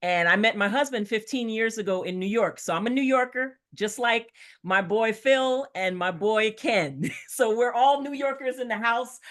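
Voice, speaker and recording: A woman's voice: a little high, moderate pace, fairly bright tone. A middle-aged woman who was born in Jamaica and grew up in the United States. She speaks as a real estate coach and guest on a webinar, in a chatty, enthusiastic, rambling way over a video call. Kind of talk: casual webinar talk.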